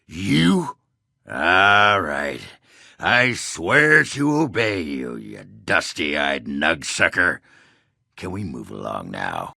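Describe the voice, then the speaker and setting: A hard-drinking dwarf warrior for a fantasy game, with an extremely deep voice full of heavy gravel. He is crude and blunt, taking his time over coarse, swearing lines. The sound is forceful and rough. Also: no room sound, clean studio sound, full character voice. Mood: grumbling, defiant. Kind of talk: video game character dialogue.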